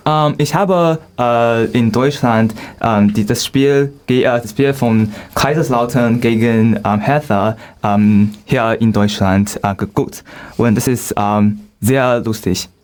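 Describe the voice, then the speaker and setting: Young Chinese student on a class panel, mid-pitched, halting German full of ums. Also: male voice, a little mellow. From China. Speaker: student guest. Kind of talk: scripted school panel talk.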